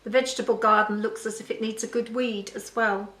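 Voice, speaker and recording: A woman's voice: fairly high pitch, fairly quick, reverberant, natural voice. Woman reading as a polite, guarded teenage farmhand. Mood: quiet, appraising. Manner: calm, civil, even.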